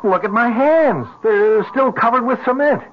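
A man's voice: very high voice, animated, moderate pace. A man playing a flustered, bewildered builder in an old-time radio drama, stammering and hesitant. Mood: bewildered, agitated. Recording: noisy, muffled old radio recording.

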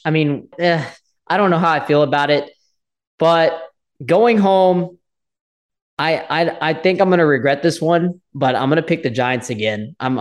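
A congested man with a slightly high voice talks through his football picks. He hesitates and restarts his sentences as he goes. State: congested from allergies. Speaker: podcast co-host. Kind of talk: casual sports chat.

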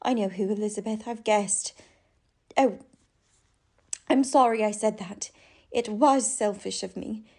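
A woman playing a gentle, heartbroken young sister in a radio drama, speaking in a tender, earnest, theatrical way. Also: medium pitch, unhurried, long pauses. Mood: tender concern.

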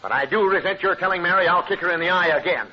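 An American actor playing a grumpy, excitable family man in an old-time radio comedy, with blustery, exasperated delivery. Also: sits high, fast talker. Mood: indignant. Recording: muffled, noisy old radio transcription.

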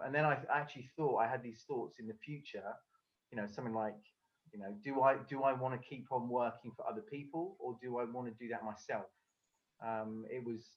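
A man from England chats casually as an interview guest, often pausing with fillers and restarting his sentences. His voice sits at an ordinary middle pitch. The call audio is dull and lo-fi. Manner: hesitant, many fillers and restarts. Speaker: guest, speaker coach. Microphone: video call.

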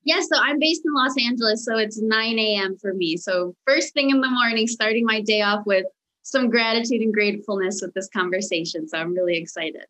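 A young American woman, Romanian as first language, telling her story as a podcast guest with warm enthusiasm. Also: medium pitch, a little fast, low-quality recording. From United States. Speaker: podcast guest, mindset coach. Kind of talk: casual interview chat. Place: home in Los Angeles, remote call.

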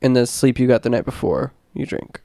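A young man with a stuffed-up, nasal voice, co-hosting a podcast in loose slangy chatter. His voice sits at an ordinary middle pitch and comes across dry and close, with no room sound. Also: lively intonation, brisk, dark tone, dry recording. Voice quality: congested, nasal. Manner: slangy and rambling, heavy on filler words. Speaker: podcast co-host. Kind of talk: casual podcast banter.

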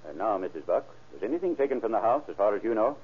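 An actor playing a brisk, probing male sheriff in a businesslike old-time radio crime drama, clipped in his questioning. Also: on the low side, very animated, breathy edge. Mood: suspicious, probing. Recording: muffled, band-limited vintage radio recording.

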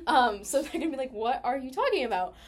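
Chatty young American woman, higher voice. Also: quick pace, a little hiss or hum. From United States. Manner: chatty, animated storytelling with heavy use of "like". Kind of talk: casual personal conversation.

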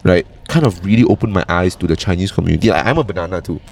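A man's voice: deep voice, racing, gravelly. A young Malaysian man co-hosting a podcast in casual, opinionated chat, often checking in with "right?" and repeating words for emphasis. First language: Cantonese. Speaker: podcast co-host.

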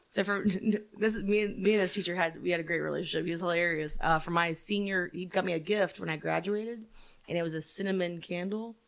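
Young Southern woman, podcast guest, animated storyteller with a gravelly voice. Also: on the low side, brisk. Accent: Southern American. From Jacksonville, Florida. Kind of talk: casual chat.

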